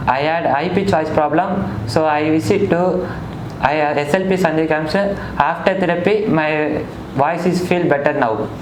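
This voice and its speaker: Young Tamil man, fairly high voice, echoey. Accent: Tamil Indian. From Chennai, India. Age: 20s. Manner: halting, simple phrasing. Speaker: voice therapy patient. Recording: roomy echo, loud background noise.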